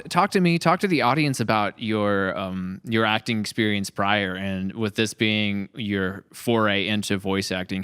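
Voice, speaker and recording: Jokey guy hosting a podcast, smooth clear voice, dry close sound. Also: lowish voice, a bit bright, no echo. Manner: upbeat, jokey, lots of restarts. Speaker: podcast host. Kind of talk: casual chat. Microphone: handheld mic.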